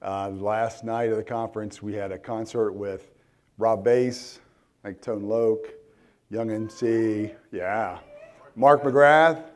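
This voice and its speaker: A man in his forties with a worn-out, hoarse voice, speaking casually at a conference and filling pauses with lots of ums and restarts. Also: lowish voice, steady tone. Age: 40s. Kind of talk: live conference talk. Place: conference hall.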